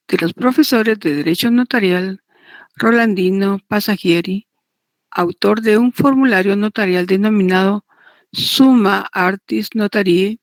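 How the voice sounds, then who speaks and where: The sort of deliberate woman you would hear teaching a law class, unhurried and repeating points, recorded close and dry. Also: medium pitch, no room sound. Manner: deliberate, repetitive, checks understanding with tag questions. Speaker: law lecturer teaching notarial law. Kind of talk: didactic lecture, partly read aloud.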